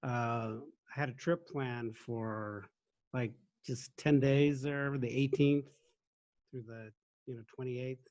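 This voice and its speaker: A man's voice: medium pitch, fairly even intonation, slow, a little mellow, muffled recording. A man chatting casually on a video call, friendly and laughing easily. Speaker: newly appointed university regent.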